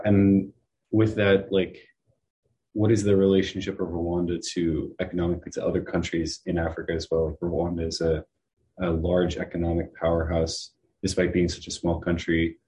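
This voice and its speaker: Deep flat-voiced young male interviewer. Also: brisk, a little hiss or hum. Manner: casual, rambling questions with fillers. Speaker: interviewer, student. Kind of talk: casual interview questions.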